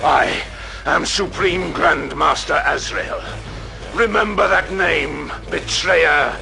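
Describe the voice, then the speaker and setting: Stern, gravelly knight commander. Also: male voice, on the high side, takes it slowly, pushed and bright, slight background noise, full character voice. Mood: defiant. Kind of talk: audio drama acting.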